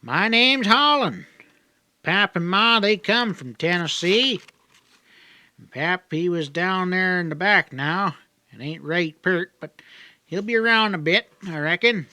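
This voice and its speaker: A man's voice: high voice, lively intonation, slow pace, bright, forceful tone, dry recording, voice pushed up, full character voice. A man voicing a talkative, naive young backwoods native in an audiobook, with a rural Southern accent and a folksy, rambling drawl. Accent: rural Southern hillbilly. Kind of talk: audiobook character dialogue.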